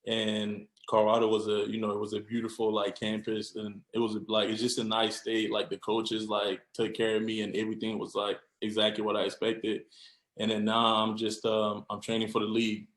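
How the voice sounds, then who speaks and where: A laid-back young man from Cameroon talks in a low, flat voice, circling back with fillers as he answers. His voice sits in a roomy, echoing space. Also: fairly quick. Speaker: guest, football player. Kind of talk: casual interview. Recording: roomy echo.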